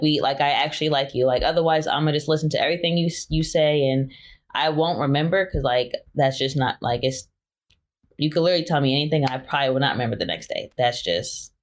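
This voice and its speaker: A woman in her twenties, a guest talking candidly about her relationships in a hesitant, soft-spoken way with lots of filler words. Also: rumbling low. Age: 20s. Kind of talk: candid personal interview.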